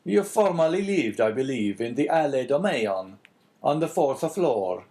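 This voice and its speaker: A man reading an audiobook, voicing a grave, searching priest with an Italian accent who asks measured, probing questions. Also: mid-range voice, very animated, dark tone, voice pushed up. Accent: Italian, put on. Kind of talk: audiobook character voice.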